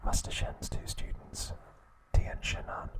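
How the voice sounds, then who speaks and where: A man whispering softly and deliberately in short phrases, narrating a recap in ASMR style with a breathy, unvoiced voice. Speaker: host of an ASMR channel.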